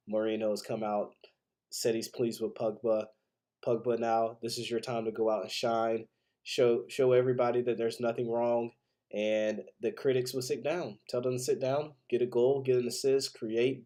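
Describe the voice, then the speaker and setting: A man's voice: medium pitch, mellow. A man with a congested, head-cold voice hosting a solo sports podcast, giving opinionated commentary in a conversational way. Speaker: podcast host. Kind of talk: solo football commentary and predictions.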